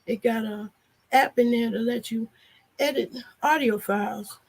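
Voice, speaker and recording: A woman vlogger with a hoarse, nasal voice and a gravelly edge, pitched a little high. She talks to her viewers in a loose, frank and chatty way, drifting from one thing to the next. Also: unhurried, low-quality recording. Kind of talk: casual chat to a live audience.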